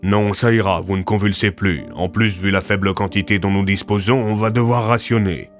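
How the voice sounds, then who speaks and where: A man voicing a gruff, practical old man in an audio drama, curt and firm. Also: lowish voice, steady tone, normal speed, soft background music, close to an everyday voice. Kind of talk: French audio fiction acting.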